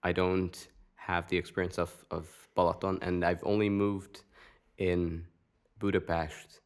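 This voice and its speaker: Low-voiced man hosting a chat. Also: little pitch movement, a little slow. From Romania, raised in a Hungarian family. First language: Hungarian. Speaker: podcast host. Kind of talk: casual interview chat.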